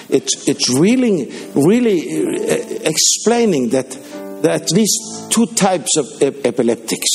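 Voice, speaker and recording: A man preaching in a church, his voice gravelly and fairly high. He swings widely in pitch as he tells stories and exhorts the congregation, with faint instrumental music playing behind him. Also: darkish tone. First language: Danish. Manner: fervent, storytelling, with rising exhortations. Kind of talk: sermon. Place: church service.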